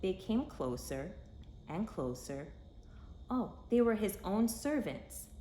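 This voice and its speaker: A woman reading a children's story aloud in an expressive, dramatic way, with emphatic phrasing for young listeners. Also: medium pitch, slow pace. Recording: echoey room with faint background noise.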